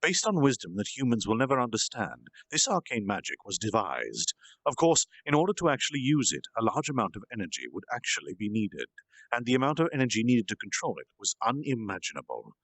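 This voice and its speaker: A man's voice: medium pitch, very animated, fairly quick, a bit bright, no echo. A man narrating a fantasy story aloud as an informal storytime reader. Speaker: storytime streamer reading aloud. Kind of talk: animated amateur story narration. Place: at home in a reading chair.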